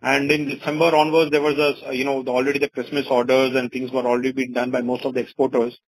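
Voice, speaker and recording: A man's voice: medium pitch, level tone, quick pace, husky, low-quality recording. A man with an Indian accent answering investors as a company executive on an earnings call, wordy and often saying "you know".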